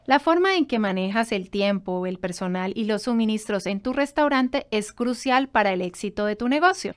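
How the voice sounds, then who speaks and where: A woman in her thirties giving a scripted instructional presentation, addressing the viewer directly in a clearly structured way. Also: a little high. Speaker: presenter of business advice videos. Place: indoor studio in front of a backdrop.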